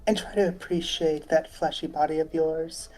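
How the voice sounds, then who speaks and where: Woman acting icy woman, game character, sarcastic, condescending, lower pitch, background music. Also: fairly even intonation, normal speed, warm tone, natural voice, rough recording. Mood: cold, sarcastic. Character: cold, sarcastic woman in charge of a library. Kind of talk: game script read-along.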